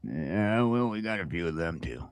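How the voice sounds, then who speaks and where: A man hosting a casual morning talk show, rambling haltingly and sounding groggy, with a crude joking streak. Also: sits low, big pitch swings, clear, resonant tone, no room sound.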